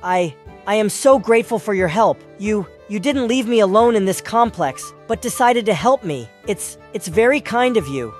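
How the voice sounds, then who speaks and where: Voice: mid-range voice, lively intonation, moderate pace, few pauses, natural voice. A performer plays a young boy in a cartoon dub. He is nervous and urgent, stammering and pleading as he speaks over music. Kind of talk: comic dub character acting.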